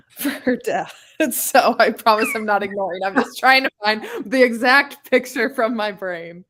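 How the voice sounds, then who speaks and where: A woman's voice: a little high, unhurried, a bit bright, a little hiss or hum. A woman co-hosting a book chat, speaking in an informal, conversational way. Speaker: book club live show co-host. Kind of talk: casual book discussion chat.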